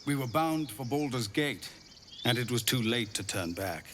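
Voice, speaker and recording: An actor playing a weary middle-aged leader of refugees, speaking in a grave, pleading way. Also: male voice, medium pitch, animated, moderate pace, a bit bright, a little hiss or hum, natural voice. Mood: worried. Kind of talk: video game dialogue. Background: game music.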